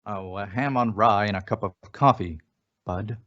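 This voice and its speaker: Man acting a small-town ex-police chief, radio suspense: slightly low voice, folksy, insinuating persuader, muffled lo-fi sound. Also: moderate pace, fairly bright tone, close to an everyday voice. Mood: probing. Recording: dull, lo-fi audio.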